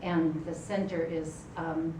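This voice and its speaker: A woman directing a college writing center, introducing her campus at a conference panel in a relaxed way with many pauses and ums. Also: low voice. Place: conference room. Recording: echoey room, distant mic, background noise.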